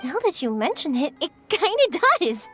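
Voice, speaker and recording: A friendly young anime game heroine, bubbly and enthusiastic. Her voice is very high and animated, with a breezy delivery full of bounce, over faint background music. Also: normal speed. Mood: cheerful. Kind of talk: English anime game voice acting.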